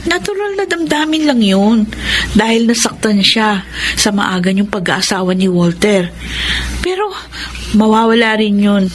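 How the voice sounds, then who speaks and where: A woman playing a kind, sensible young Filipino friend in a radio drama, gently giving reassurance and advice. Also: mid-range voice, animated, a little slow, close to an everyday voice. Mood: reassuring. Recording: faintly noisy radio drama track.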